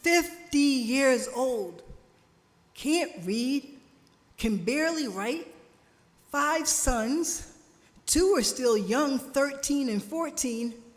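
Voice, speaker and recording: A woman preaching a sermon at a church service, slow and emphatic, drawing responses from the congregation, her family from Jamaica. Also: high-pitched, expressive. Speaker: guest speaker at a church service. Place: church sanctuary. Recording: church hall reverb.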